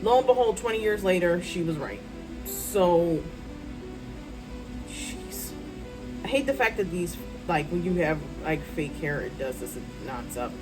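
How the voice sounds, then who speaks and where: A woman in her thirties, a writer, chatting casually to her phone's front camera at home, loud-voiced and rambling with many ums and asides. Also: fairly low pitch, animated, unhurried, music in the background, rough recording. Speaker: writer vlogger. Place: hot room at home with a fan.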